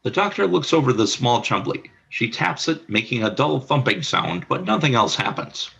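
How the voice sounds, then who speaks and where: A man narrating the stage action of an amateur radio play in an even reading. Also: medium pitch, moderate pace, vocal fry, breathy edge.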